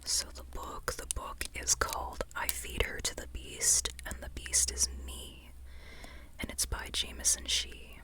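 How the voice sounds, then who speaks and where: An ASMR host speaks in a steady whisper, taking their time over each phrase. The delivery is soft and informal, rambling along and circling back to repeat words. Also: measured pace, poor audio. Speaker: ASMR host reviewing a book.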